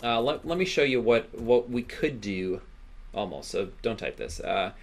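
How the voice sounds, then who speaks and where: A man giving an informal coding tutorial as an instructor, speaking haltingly with repeated words and frequent check-in questions. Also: medium pitch, expressive. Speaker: programming instructor.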